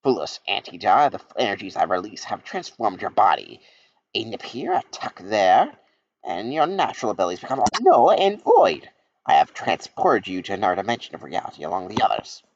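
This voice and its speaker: A man's voice: on the low side, big pitch swings, fairly quick. A man voicing a pompous, scheming male mad-scientist villain, in a dull lo-fi recording. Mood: gloating. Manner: pompous, gloating.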